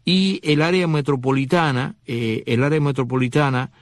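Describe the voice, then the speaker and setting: A man's voice: medium pitch, steady tone, moderate pace, no room sound, clean studio sound. A man hosting a radio talk show, talking to the listener in a conversational way, with many fillers and restarts. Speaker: radio host.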